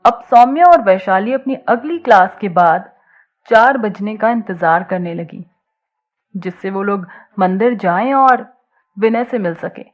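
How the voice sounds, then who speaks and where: A woman narrating a scripted audio story in an expressive storytelling style, on a slightly muffled recording. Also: mid-range voice, a little slow.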